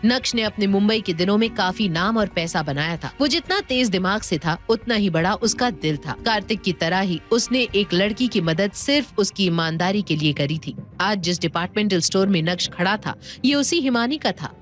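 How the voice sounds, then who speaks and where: Female story narrator over soft music. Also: mid-range voice, animated, moderate pace, few pauses. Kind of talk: dramatic scripted story narration.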